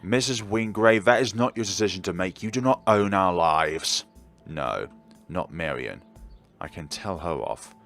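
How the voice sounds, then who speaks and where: Low-voiced man commenting bluntly. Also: a little slow, fairly bright tone, faint music behind. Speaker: gaming YouTuber. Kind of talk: reading and commenting on a visual novel.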